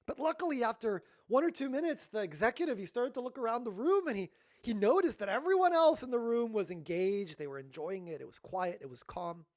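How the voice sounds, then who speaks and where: A man giving a storytelling talk as a workshop leader, animated but dropping to hushed tones at emotional moments. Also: very high voice, a little fast, no echo, clean studio sound.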